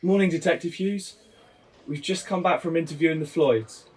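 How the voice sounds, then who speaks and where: A man's voice: fairly high pitch, moderate pace, slight background noise, natural voice. A man playing a mild, considerate police detective in a naturalistic audio drama. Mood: polite. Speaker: actor in an audio play.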